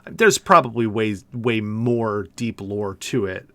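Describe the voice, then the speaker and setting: A man's voice: on the low side, slow pace, bright, forceful tone, no room sound. A man hosting a podcast, chatting informally and conversationally about video games. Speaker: podcast host. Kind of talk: casual video game discussion.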